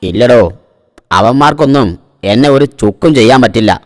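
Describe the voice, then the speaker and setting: A man's voice: medium pitch, fairly quick, lightly acted. A man voicing a self-assured but naive car owner, a comic simpleton in a cartoon. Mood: cocky, trusting.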